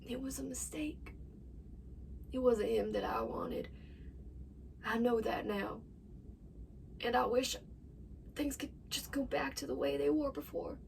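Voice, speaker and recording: Woman playing a young farm wife, anguished, desperate, dramatic monologue, crackly fry in the voice, distant mic with faint noise. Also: sits high, sing-song, a little slow, pauses often, exaggerated voice. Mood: guilty, hushed. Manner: anguished, swinging between whispers and outbursts. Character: desperate young farm wife confessing to her absent husband. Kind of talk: dramatic film monologue performance.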